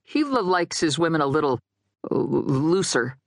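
A woman's voice: deep voice, very animated, unhurried, no echo, studio quality, full character voice. Woman acting out a shy stammering male ghost. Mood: awkward, hesitant. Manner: hesitant stammer on first sounds.

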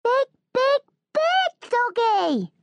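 An excited little toddler girl. The voice leaps very high and talks in simple baby words, recorded dry. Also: drawn-out words, no echo, lo-fi sound, full character voice.

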